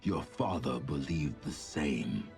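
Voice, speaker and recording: An actor playing a proud, menacing ninja master in a game, cold and taunting. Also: male voice, rumbling low, lively intonation, slow pace, vocal fry, darkish tone, soft background music, full character voice. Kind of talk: scripted game character voice lines.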